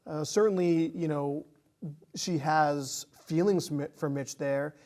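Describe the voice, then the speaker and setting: A man's voice: on the high side, slow, a little mellow. A man co-hosting a casual film discussion, feeling his way through thoughts with repeated phrases. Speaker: podcast co-host.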